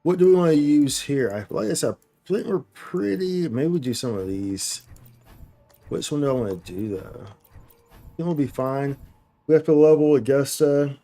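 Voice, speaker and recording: A man's voice: a little high, lively intonation, moderate pace, dark tone. A man doing casual live gameplay commentary, thinking aloud in slangy, repetitive phrases. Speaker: game livestreamer. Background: faint game music.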